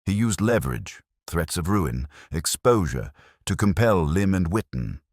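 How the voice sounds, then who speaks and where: A man voicing a commanding, shrewd detective in an audiobook, speaking in deliberate, authoritative statements. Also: deep voice, sing-song, darkish tone, no room sound, studio quality, heavily acted. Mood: accusing, forceful.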